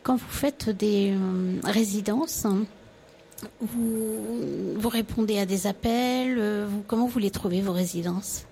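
A woman's voice: mid-range voice, takes it slowly, vocal fry, warm tone, slight background noise. A woman interviewing a guest on the radio, asking questions in a polite, formal way.

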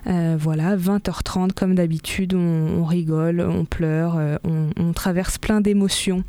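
A woman hosting a community radio show, presenting upcoming events in a relaxed way with frequent hesitations. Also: lowish voice, unhurried, dry recording. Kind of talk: reading event listings on local radio.

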